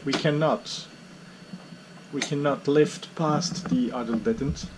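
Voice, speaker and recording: A middle-aged man with phrasing explains a home-built cockpit calmly and step by step, talking off screen near the camera. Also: on the high side, takes it slowly. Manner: calm, step-by-step, non-native phrasing. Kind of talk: hands-on demonstration. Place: home workshop with a simulator cockpit. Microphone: camera mic, speaker off screen. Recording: clear background noise.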